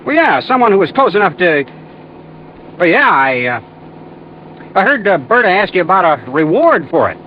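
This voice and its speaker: A man's voice: sits high, very animated, normal speed, pauses often. An American man playing an evasive, boastful car dealer in classic radio drama, chummy and talky with hesitant phrasing. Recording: muffled, noisy vintage radio recording.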